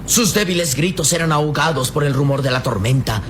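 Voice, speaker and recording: A man with a Latin American Spanish accent narrating an old-fashioned radio serial dramatically, solemn and suspenseful, with deliberate phrasing and pauses. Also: fairly high pitch, lightly acted. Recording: old radio drama with storm noise behind.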